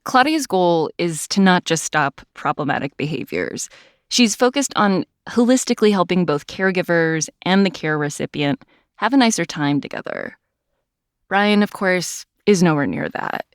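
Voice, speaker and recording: A woman hosting a podcast, telling the story in scripted narration with an expressive delivery. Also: lowish voice, moderate pace, no echo, studio quality. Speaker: podcast host.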